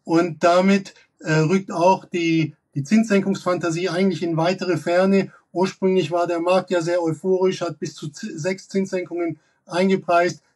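A man in his thirties gives market commentary from an office. His voice sits a touch high for a man, and it sounds a little distant from the microphone. He talks analytically, with frequent fillers and restarts. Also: level tone, moderate pace, hardly any pauses, a little mellow. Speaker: derivatives expert guest. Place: office with glass wall.

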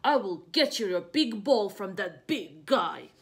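A woman's voice: medium pitch, lively intonation. Woman as an indignant, blustering big elephant. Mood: determined. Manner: emphatic, drawn-out declarations.

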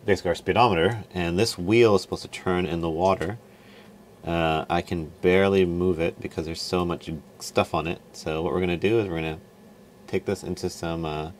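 A man's voice: low voice, slight background noise. A man in his thirties hosting a sailing vlog, talking casually with fillers as he explains what he is doing on his boat. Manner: explaining step by step, chatty with fillers. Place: aboard a sailboat, cabin and deck.

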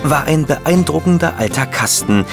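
An actor playing a chronicler who narrates a detective case in a radio play. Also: male voice, a little high, animated, normal speed, gravelly. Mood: reflective. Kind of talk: scripted narration. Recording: music behind narration.

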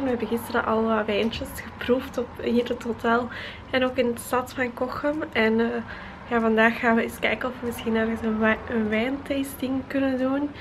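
A woman's voice: fairly high pitch, noisy background. A woman in her twenties with a Flemish accent, chatting casually to a handheld camera as a travel vlogger in a small hotel room. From Belgium. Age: 20s. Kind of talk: casual vlog chat to camera. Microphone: handheld camera at arm's length.

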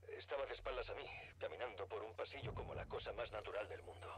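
A man's voice: fairly low pitch, expressive, fast talker, lightly acted. An actor playing a weary, uneasy man giving a terse, grave report in a video game audio log. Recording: dull radio recording with background noise.

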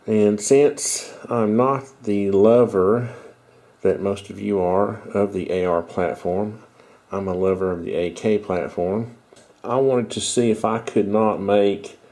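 Congested middle-aged man, mellow low voice. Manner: rambling, self-correcting. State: stuffy, congested. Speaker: video host. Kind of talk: casual show-and-tell talk. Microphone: headset.

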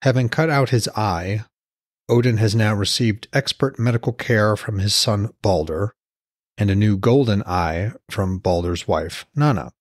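A man narrates a novel in a low voice with some huskiness. The sound is dry, and he delivers scripted storytelling as host of his own podcast. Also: measured pace, no echo.

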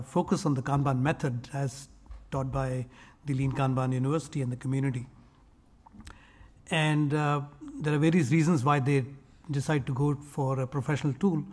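A man in his fifties answering on a conference panel, speaking in a measured way with many fillers, into a table microphone. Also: mid-range voice, a little mellow. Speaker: panelist, tool vendor. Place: conference room on a panel stage.

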